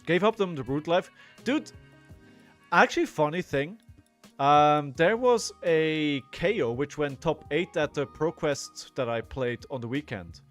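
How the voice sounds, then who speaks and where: A man's voice: on the high side, expressive, measured pace, a bit bright, soft background music. A man in his forties giving casual, rambling live commentary on a card game, thinking aloud and chatting to viewers. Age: 40s. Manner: rambling, thinking aloud, frequently checking in with "right?".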